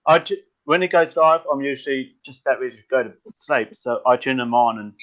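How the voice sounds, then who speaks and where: A man chatting on a live stream who talks haltingly, with many stammered restarts. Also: mid-range voice, a bit bright, lo-fi sound. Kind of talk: casual live stream chat.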